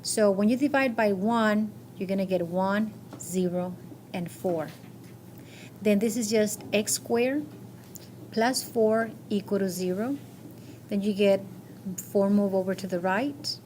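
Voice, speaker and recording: A woman's voice: medium pitch, takes it slowly, darkish tone. A woman teaching a math class, going over quiz answers step by step and checking with short questions. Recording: noisy classroom recording.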